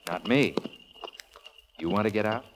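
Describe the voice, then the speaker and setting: An actor playing an easygoing, romantic young sailor with a casual, playful manner in 1940s radio style. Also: male voice, on the low side, a little slow, noisy background, close to an everyday voice. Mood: tender, coy.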